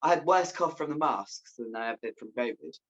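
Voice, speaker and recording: A woman's voice: a bass voice, animated, brisk, highs cut off. A woman co-hosting a podcast in casual chat, offhand and self-deprecating. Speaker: podcast co-host.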